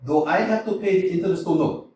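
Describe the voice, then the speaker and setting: An actor playing an irate middle-aged landowner hounding a debtor, ranting in a staged reading. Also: male voice, high-pitched, quick pace, close to an everyday voice. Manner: ranting, exclamatory, swinging between mutters and shouts. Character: irate middle-aged male landowner chasing a debt, blustering. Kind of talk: staged play reading, theatrical. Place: small community theater stage. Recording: echoey theater from far off, faint hiss.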